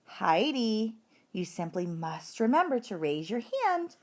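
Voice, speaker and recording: Storybook schoolteacher, acted by a woman: patient but in charge, very lively intonation. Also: medium pitch, measured pace. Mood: stern. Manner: firm, questioning classroom address. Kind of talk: children's storybook read-aloud.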